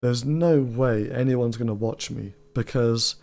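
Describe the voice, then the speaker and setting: A young man, hosting a trading advice video in a small room and talking in a flat, rambling way with fillers. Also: medium pitch, a little slow, creaky. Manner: self-described monotone, rambling with fillers. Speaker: trading channel host. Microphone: studio mic on boom arm, headphones.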